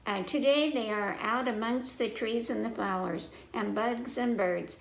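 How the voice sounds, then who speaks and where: American woman reading to kids, gravelly creak. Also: a little high, measured pace. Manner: simple, repetitive, checking in with young listeners. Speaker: children's librarian, story time host. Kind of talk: children's picture book read-aloud with asides to listeners. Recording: echoey room with faint noise.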